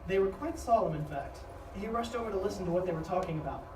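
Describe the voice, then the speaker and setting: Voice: mid-range voice, quick pace. A narrator reading scripted dramatic narration live on stage. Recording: echoey live stage, distant, clear noise.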